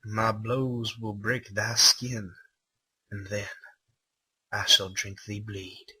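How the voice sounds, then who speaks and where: A man voicing a sadistic older attendant in a slow, theatrical, mock-archaic manner, as part of a comic dramatic reading. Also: fairly low pitch, flat delivery, bright, forceful tone, slightly rough, full character voice, low-quality recording. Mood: menacing, pompous. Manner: theatrical, slow, mock Old English delivery.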